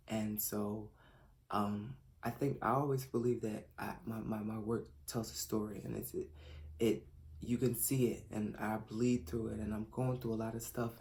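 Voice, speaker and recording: A man in his late twenties from Philadelphia makes an earnest audition pitch as a fashion designer. His voice is low and mellow and stays quite flat. He hesitates often, stumbling through fillers and restarts like a shy, reserved speaker. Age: late 20s. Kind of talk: self-recorded audition pitch.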